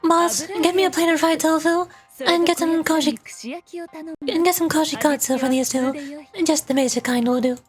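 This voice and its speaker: A woman's voice: sits high, steady tone, normal speed, lightly acted. A woman playing a sly, composed, teasing fox-shrine lady in a video game, with a theatrical, self-assured delivery. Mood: wry, composed. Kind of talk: video game character dialogue. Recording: background music under game dialogue.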